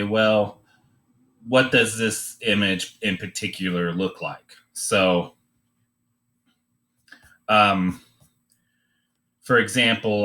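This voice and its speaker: A man giving an informal, unscripted drawing demonstration, thinking aloud in a halting way as he teaches. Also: fairly low pitch, sharp tone.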